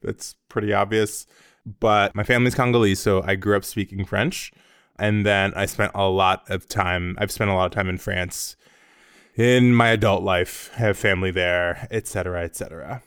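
An American man hosting a podcast in easy casual chat, upbeat and quick to laugh. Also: lowish voice, fairly even intonation, fairly bright tone, clean tone, no room sound, studio quality. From United States. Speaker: podcast host.

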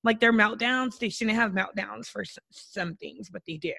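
A young woman co-hosting a podcast in casual chat, hoarse and rambling through stories. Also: medium pitch, moderate pace, bright, forceful tone. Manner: chatty, rambling storyteller. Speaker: podcast co-host.

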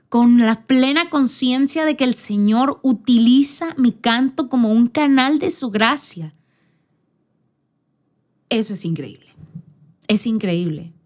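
A woman's voice: fairly high pitch, darkish tone. A woman podcast host giving a casual, personal faith talk, conversational and earnest, speaking directly to the listener. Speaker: podcast host and church singer.

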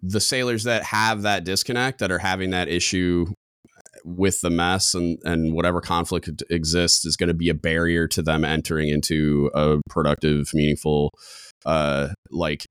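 A man co-hosting a podcast in casual discussion, with a deep booming voice, talking loud and coming on strong, with many restarts and fillers. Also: clear, resonant tone, dry recording, studio quality. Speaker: podcast co-host.

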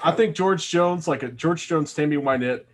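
A man's voice: a little high, moderate pace. A man chatting casually as part of a group podcast conversation. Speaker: podcast participant.

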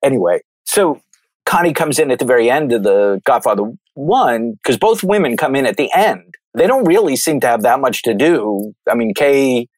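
A man's voice: mid-range voice, animated, normal speed, a little mellow, no room sound. A man from New York with an American accent, talking through films in a discursive, thoughtful, conversational way. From New York, United States. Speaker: film commentator. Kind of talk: conversational film analysis.

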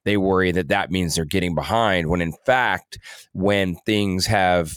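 A man with a low voice, recorded close and dry, co-hosts a podcast in a casual conversational style. He explains his views in a reflective way, reasoning through each point as he goes. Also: a little slow, smooth, no room sound. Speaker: podcast co-host. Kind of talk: casual discussion.

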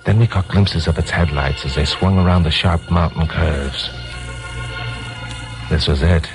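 A man's voice: extremely low pitch, low-quality recording. An actor with an American accent playing a tough, wisecracking newsman detective who narrates his case in a 1950s radio drama. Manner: hard-boiled, teasing. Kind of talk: 1950s radio acting, first-person narration. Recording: 1950s radio recording with music.

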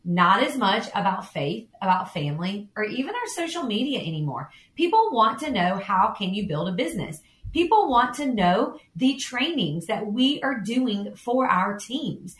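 A woman's voice: mid-range voice, big pitch swings, normal speed, reverberant. A woman co-hosting a podcast, giving chatty, encouraging advice. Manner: chatty, encouraging, laughs easily. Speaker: podcast co-host.

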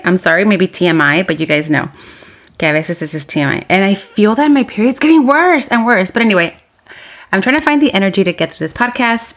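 A woman co-hosting a podcast in casual chat, frank and chatty. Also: fairly low pitch. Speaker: podcast co-host.

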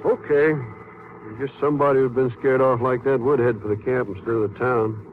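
Actor as skeptical sheriff on tinny old radio. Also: male voice, mid-range voice, steady tone, normal speed, lightly acted. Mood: doubtful. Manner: folksy, blunt, doubting. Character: skeptical, folksy small-town sheriff, blunt and dogged. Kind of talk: 1950s radio western drama acting. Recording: tinny, noisy 1950s radio recording.

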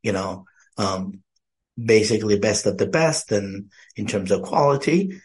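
Hoarse, low-voiced podcast guest. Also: male voice, animated, measured pace. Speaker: podcast guest, product development head. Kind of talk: casual podcast chat.

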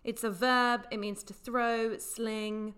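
A woman's voice: fairly high pitch, little pitch movement, slow pace, a bit bright. A woman co-hosting a casual chat, explaining slang with frequent laughter. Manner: laughs often, explains patiently. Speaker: podcast co-host.

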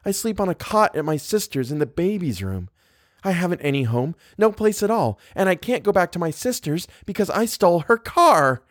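Man voicing a fragile, pleading young woman, pitched up. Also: big pitch swings, moderate pace, dry recording, clean studio sound. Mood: desperate, pleading. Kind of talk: audiobook character voice.

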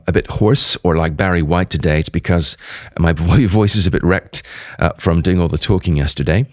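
Man, hoarse, very low voice, podcast host, quick with restarts, dry sound. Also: no room sound. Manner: speaking rather quickly, with frequent restarts. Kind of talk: solo podcast episode wrap-up. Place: at a sales conference.

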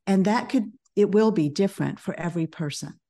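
A middle-aged woman speaking as a podcast guest, a business coach who talks in a calm, measured way. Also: medium pitch. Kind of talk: podcast interview.

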